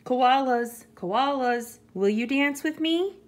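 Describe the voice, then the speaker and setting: A woman's voice: high-pitched, slow, voice pushed up. A woman voicing a small, eager male mouse in a children's storybook, pleading in a singsong way. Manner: singsong, pleading, repeats names.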